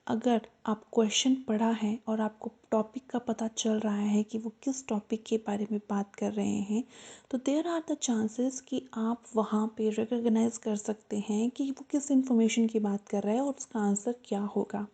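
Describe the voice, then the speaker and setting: A woman tutor giving an explanatory lesson to students, earnest and repeating her reminders, slowing down to spell out the key points. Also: on the high side, steady tone, dark tone, slightly rough. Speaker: exam-prep tutor.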